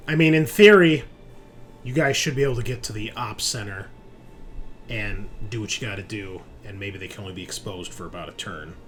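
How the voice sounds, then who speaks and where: A man narrating a tabletop roleplaying game as game master, improvising with pauses. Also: fairly low pitch, fairly bright tone. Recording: music playing under the voice. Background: music.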